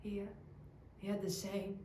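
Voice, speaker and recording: Woman doing grieving young cook monologue, halting and confessional, narrow pitch, roomy echo w some noise. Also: completely flat delivery, lightly acted. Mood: halting, subdued. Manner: halting, hesitant, confessional, with stammered restarts. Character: grieving, self-doubting young cook at a support meeting. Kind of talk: naturalistic dramatic monologue. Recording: echoey room, clear background noise.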